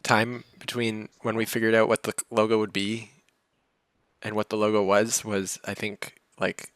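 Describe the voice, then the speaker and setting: A man's voice: fairly low pitch, moderate pace, dry recording. A man chatting casually on a livestream Q&A, explaining his team's work at length over a video call. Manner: relaxed, explains at length, chuckles between points. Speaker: game developer answering questions.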